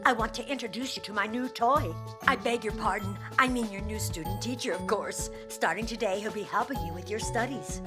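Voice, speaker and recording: A domineering woman teacher from an anime dub, voiced by an actress. She teases playfully at an ordinary female pitch, with music clearly heard behind her. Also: a little fast, few pauses, lightly acted. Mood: commanding. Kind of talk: English anime dub acting.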